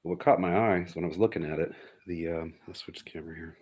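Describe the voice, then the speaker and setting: A man with an extremely low-pitched voice presents a piece of hobby electronics in a casual, unscripted way. He explains technical details plainly and matter-of-factly, as in an informal demonstration. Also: fast, darkish tone.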